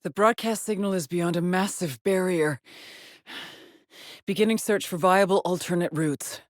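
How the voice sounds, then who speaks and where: An actress playing a haunted, determined space explorer stranded on an alien world, speaking a grave, introspective video game monologue with a husky, breathy voice. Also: female voice, lowish voice, no room sound, clean studio sound, natural voice. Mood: focused, analytical. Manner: measured, introspective, grave, with sighs. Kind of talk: scripted video game monologue, solitary log narration.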